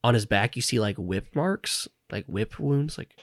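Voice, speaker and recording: A man running a tabletop game as game master, describing scenes in a relaxed way with lots of fillers and chuckles. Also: medium pitch, unhurried, vocal fry, no room sound, studio quality.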